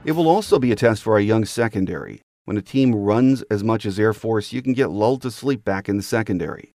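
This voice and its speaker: A man's voice: on the low side, animated, a little fast, mellow, no room sound, studio quality, faint music behind. A man hosting a sports podcast with scripted commentary and guest introductions.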